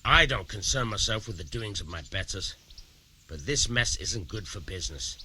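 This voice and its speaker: An actor playing a shrewd, amoral village miller in a medieval video game, gruff, coarse and slyly persuasive. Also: male voice, lowish voice, animated, normal speed, bright, forceful tone, a little hiss or hum. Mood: grumbling. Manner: sly, persuasive, coarse-tongued. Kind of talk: video game dialogue acting.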